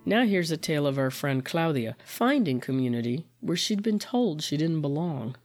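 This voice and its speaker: A radio host reads calm, friendly scripted introductions. Guitar music plays clearly behind the voice. The delivery stays measured and even. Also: medium pitch. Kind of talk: scripted narration.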